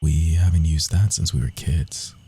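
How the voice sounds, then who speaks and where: A man playing a sly, cocky rogue assassin in a fantasy audio roleplay, speaking softly and theatrically, often dropping into whispers. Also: moderate pace, heavily acted. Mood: stunned, flustered. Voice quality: low, gravelly, husky.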